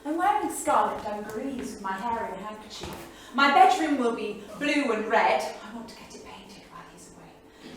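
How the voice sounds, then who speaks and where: An actress playing a restless, flirtatious 1930s bohemian wife in a theatrical staged reading, gushing and affected, with a brittle edge. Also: female voice, on the high side, expressive, moderate pace, natural voice. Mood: brittle, weary. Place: small theatre studio, indoors. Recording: echoey theatre studio from far off, faint noise.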